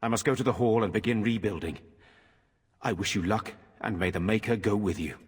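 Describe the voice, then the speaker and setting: A man playing a solemn, dutiful nobleman in a fantasy video game, speaking in a formal, measured way. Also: lowish voice, breathy edge. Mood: resolute. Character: solemn, dutiful nobleman brother ruling a troubled town. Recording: hall reverb, music behind.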